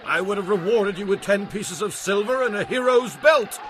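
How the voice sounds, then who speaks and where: An actor playing a blunt, impatient army commander with an emphatic delivery in a dramatized audiobook. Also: male voice, sits high, normal speed, crowd noise, noisy background, natural voice. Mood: angry, impatient.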